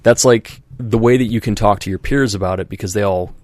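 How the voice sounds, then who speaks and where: A man's voice: fairly low pitch, brisk, darkish tone. A man hosting a podcast in casual chat, rambling with many fillers and restarts and acting out quoted voices. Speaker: podcast host.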